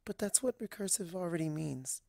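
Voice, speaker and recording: A woman voicing a shy, gentle young female pegasus pony in a soft, breathy, hesitant voice. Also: on the low side, expressive, normal speed, no echo, studio quality. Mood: timid. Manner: hesitant, meek.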